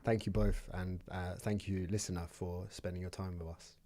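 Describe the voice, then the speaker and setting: A man hosting a relaxed chat, rambling easily with frequent restarts. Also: sits low, moderate pace. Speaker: podcast host. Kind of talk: casual chat.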